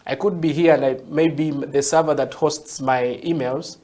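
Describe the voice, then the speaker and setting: Ugandan guy, guest on interview, explains things slow and patient, keeps saying yeah?. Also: on the high side, fairly even intonation, dark tone. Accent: Ugandan English. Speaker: guest, tech entrepreneur.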